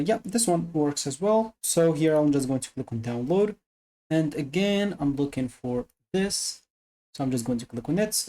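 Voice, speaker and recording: Tutorial presenter, mellow mid-range voice, casual step-by-step explanations. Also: mid-range voice.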